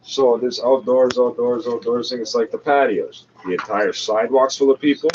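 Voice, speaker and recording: A man's voice: mid-range voice, steady tone, normal speed, darkish tone. A man giving blunt, profane, sardonic off-the-cuff running commentary as he talks back at a radio broadcast. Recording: dull, noisy lo-fi recording.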